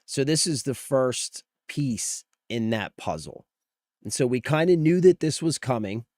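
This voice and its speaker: A man's voice: medium pitch, expressive, unhurried, a little mellow, dry recording, studio quality. A man hosting a casual livestream, talking informally to viewers while sick, his voice strained. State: sick, voice strained from near loss. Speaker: live streamer host. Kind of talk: casual livestream commentary on gaming news.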